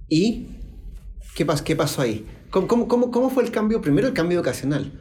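A man's voice: sits high, very animated, quick pace, dark tone. A man hosting a podcast interview, asking eager questions and often tripping over repeated words. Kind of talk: conversational interview.

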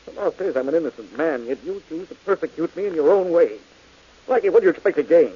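A man's voice: a little high, animated, fast, slightly rough. A man playing a bitter, defensive murder suspect who turns to threats in an old radio drama. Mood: resentful. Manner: defensive, rising to angry threats. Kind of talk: 1940s radio acting. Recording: dull old radio recording with hiss.

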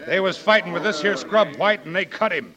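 An actor playing an excitable rustic townsman with a rural American accent in a 1940s radio drama. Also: high voice, fairly quick, dull audio, lightly acted. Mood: accusing. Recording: very noisy old radio recording, clicks.

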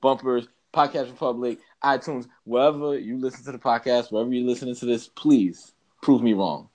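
A man's voice: mid-range voice, brisk, darkish tone. A man co-hosting a podcast in casual chat, ranting with emphatic, slangy, repetitive phrasing. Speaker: podcast co-host.

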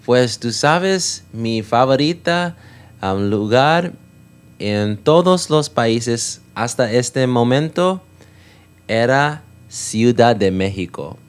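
A man with English as his first language talks slowly and unhurriedly in a second language. He pauses often, fills gaps with "am" and "ah", and corrects his own grammar as he goes. His pitch sits in an ordinary middle range. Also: expressive, smooth. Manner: halting learner's Spanish with many fillers and self-corrections. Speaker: podcast guest. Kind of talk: casual chat.

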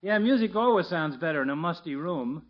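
Man playing high-voiced wisecracking barber. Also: lively intonation, brisk, lightly acted. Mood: teasing. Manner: folksy, slangy, sardonic. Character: wisecracking, gossipy small-town male barber. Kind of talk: 1950s radio sitcom acting. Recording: muffled 1950s radio recording.

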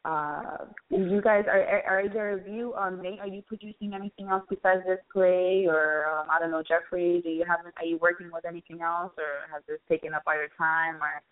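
Phone-quality audio, female interviewer, lower-pitched, informal talk show hosting. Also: fairly even intonation, a little fast, few pauses. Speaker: radio show host. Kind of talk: casual interview. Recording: tinny, narrow phone-line sound.

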